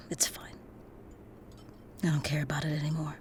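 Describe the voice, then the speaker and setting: An actress playing a proud, defiant young student activist in an audio drama, with an American accent and an articulate, husky delivery. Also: female voice, low-pitched, completely flat delivery, unhurried, sharp tone, a little hiss or hum. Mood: dejected. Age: young adult.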